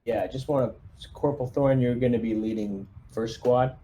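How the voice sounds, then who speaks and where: A man talking casually through a tabletop roleplaying game, giving squad orders in a halting way. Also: medium pitch, mellow, rough recording.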